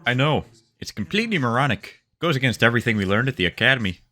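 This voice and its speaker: A man's voice: medium pitch, sing-song, quick pace, sharp tone. A man playing a crude, griping, cocky young army private in a sci-fi audio drama. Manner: cocky, slangy.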